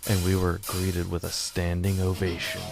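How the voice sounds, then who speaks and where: A man giving a measured, solemn scripted narration for a radio drama in a gravelly voice. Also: deep voice, monotone, over loud music. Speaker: writer and narrator.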